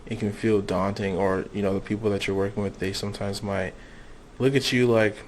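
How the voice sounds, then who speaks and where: A man's voice: fairly low pitch, flat delivery, brisk, slight background noise. A young man interviewing a guest in a casual, rambling way, with lots of fillers. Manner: rambling questions, many fillers.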